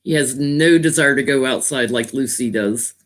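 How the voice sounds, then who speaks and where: A man with a naturally loud voice, a tarot reader chatting casually on a live stream from home, talking into a laptop from a little way back. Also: medium pitch. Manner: chatty and rambling, quick to laugh. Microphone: laptop, sitting back from it.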